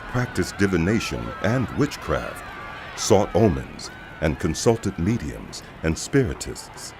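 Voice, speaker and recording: Extremely deep-voiced male scripture narrator. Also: background noise. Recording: loud music behind the voice. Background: loud music.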